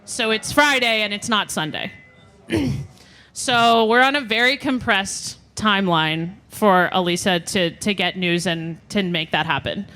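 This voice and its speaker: A woman's voice: mid-range voice, measured pace, bright, forceful tone. A woman co-hosting a live podcast, talking in an unscripted, explanatory and conversational way. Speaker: podcast co-host. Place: live show in front of an audience.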